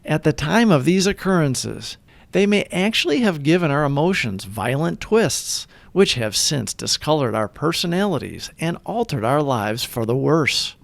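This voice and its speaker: A man reading a scripted recovery meditation aloud in an earnest manner, with deliberate, measured phrasing. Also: on the high side, vocal fry, dry recording. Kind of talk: scripted devotional read-aloud.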